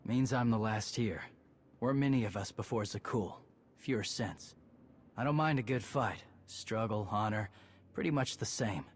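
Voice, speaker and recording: Man voicing a weary young warrior chief, terse, lowish voice, faint ambience. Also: normal speed, slight background noise, close to an everyday voice. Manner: terse, clipped, tired. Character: young male clan leader, weary, honor-bound. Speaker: clan leader.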